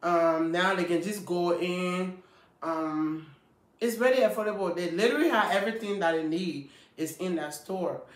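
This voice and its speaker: A woman's voice: lowish voice, moderate pace. A woman, vlogging casually and emphatically to the camera. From Liberia. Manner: chatty and emphatic, gestures while talking. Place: small indoor room. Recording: echoey small room.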